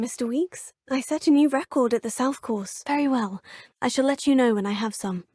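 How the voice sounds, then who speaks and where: Young British witch student, voiced by a woman: polite, composed, a touch formal, fairly high voice, dry studio sound. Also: dark tone, no echo, close to an everyday voice. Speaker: player character in a video game. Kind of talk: game dialogue lines.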